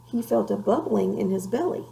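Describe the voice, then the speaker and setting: A woman reading a children's story aloud in an expressive, measured way, with a creaky voice. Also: mid-range voice, a little hiss or hum. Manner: measured, animated read-aloud delivery. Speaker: storybook reader.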